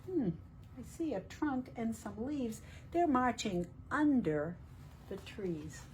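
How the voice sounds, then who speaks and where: A woman reading a picture book aloud to young children in a deliberate, teacherly way, asking questions as she goes. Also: a little high, lively intonation, a little mellow, slight background noise. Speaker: children's storytime reader at a library.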